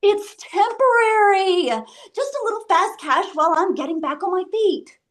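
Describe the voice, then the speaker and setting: A shameless woman dealer fresh out of prison, as an actress reads her. The voice is very high-pitched. She talks in a glib, breezy way, as in a comic play reading. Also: normal speed. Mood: evasive, flippant. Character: brazen female ex-convict drug dealer, flippant and shameless. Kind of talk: script table read.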